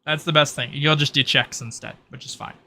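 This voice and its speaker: Bright-voiced man running a tabletop game. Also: on the high side, steady tone, a little fast. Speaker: game master. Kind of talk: improvised narration of an online tabletop roleplay. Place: online voice call.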